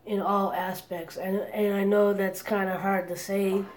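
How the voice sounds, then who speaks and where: A woman's voice: mid-range voice, level tone, measured pace, very noisy. A woman in her forties talking candidly in a personal vlog, earnest and worried, hesitating often with restarts and fillers. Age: 40s. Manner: hesitant, many restarts and "you know". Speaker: vlogger.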